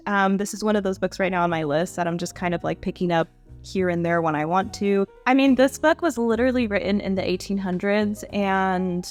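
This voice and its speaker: Young woman vlogger, kinda hoarse and stuffy, chatty and gushy, soft music under her. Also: mid-range voice. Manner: chatty, gushing, lots of "so" and "like". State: hoarse, congested throat. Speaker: book vlogger. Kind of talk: casual chat to camera.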